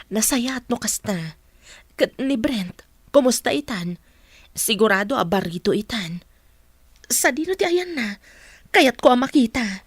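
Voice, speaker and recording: A woman's voice: fairly high pitch, lively intonation, unhurried, long pauses, breathy edge, no echo. A woman with an Ilocano accent playing an outspoken, protective family friend in a radio drama, earnest and emphatic. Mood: friendly. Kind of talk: Filipino radio drama acting.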